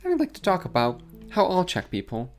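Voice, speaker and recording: Sardonic YouTube commentator, lively pitch. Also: male voice, brisk, soft background music. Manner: dry, mocking, deadpan asides. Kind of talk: sarcastic commentary. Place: garage.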